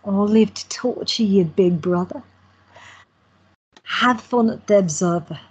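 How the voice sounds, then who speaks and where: A woman playing a quiet, teasing photographer in her twenties, trading dry banter with her brother. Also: mid-range voice, a little slow, breathy edge. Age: 20s. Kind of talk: amateur audio drama acting. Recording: dull lo-fi audio.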